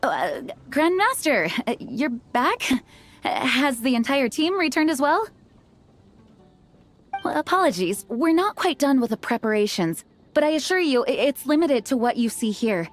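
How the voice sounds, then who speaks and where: An actress playing an earnest, modest young knight commander in an anime game, speaking formally and politely. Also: female voice, high-pitched, very animated, unhurried. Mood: flustered. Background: background music.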